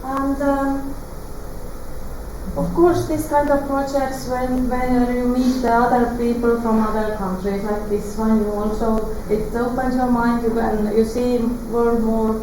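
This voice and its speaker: A woman with an Estonian accent speaks slowly in a somewhat high voice, often stopping to search for the right English word. She is recorded from a distance in a very echoey space. Steady background noise runs under her speech. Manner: halting, searching for English words with many fillers. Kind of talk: hesitant interview answers.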